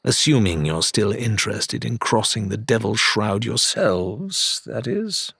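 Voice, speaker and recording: A man's voice: on the low side, animated, a little slow, creaky, fairly bright tone, husky, no room sound, studio quality, heavily acted. A man voicing a sly pirate turncoat who speaks amiably and persuasively, with a curt edge, in an audiobook. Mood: amiable, sly.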